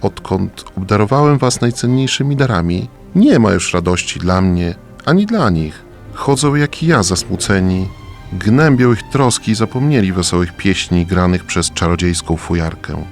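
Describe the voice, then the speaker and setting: A man's voice: sits low, lively intonation, normal speed, soft background music. A man playing a sad old king, a tender father who speaks in a solemn, pleading way in a children's radio play.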